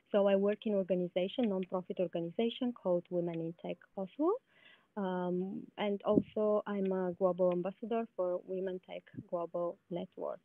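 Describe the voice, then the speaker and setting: A woman, a startup founder answering interview questions in a friendly, halting way with many fillers and repeats, speaking from outdoors over a video call. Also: medium pitch, no room sound. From Bulgaria. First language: Bulgarian. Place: outdoors, sunny spot with palm trees. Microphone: laptop video call. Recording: tinny phone-like video call audio.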